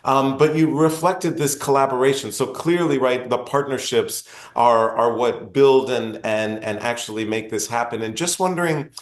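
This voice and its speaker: A man's voice: medium pitch, moderate pace, hardly any pauses. A man hosting an interview, asking long, earnest, winding questions. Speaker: podcast host, interviewer. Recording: roomy echo.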